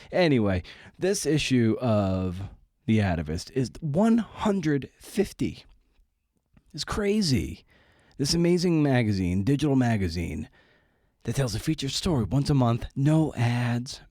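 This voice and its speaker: A man's voice: medium pitch, warm tone, dry recording, clean studio sound. A man hosting a podcast, talking casually in short, informal, offbeat phrases. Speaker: podcast host. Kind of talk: casual podcast intro and interview.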